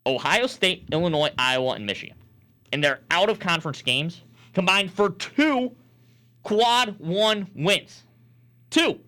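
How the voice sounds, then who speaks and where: A man's voice: high voice, animated, measured pace, pushed and bright. A young man working as a sportscaster, delivering sports commentary and opinion in an emphatic, argumentative manner. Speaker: sportscaster and sports talk host.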